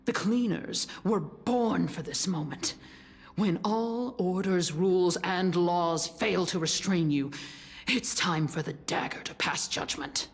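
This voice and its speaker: Slow, low-voiced sneering female conspirator. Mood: mocking menace. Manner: drawling, mocking, theatrical. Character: sneering, menacing female conspirator taunting a demigod. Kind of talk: dramatic fantasy game voice acting.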